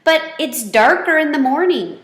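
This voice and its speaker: A woman's voice: high-pitched, animated, moderate pace, a bit bright, echoey room, pitched above the performer's own voice. A woman voicing a curious, chatty young schoolchild in a storybook, simple and childlike.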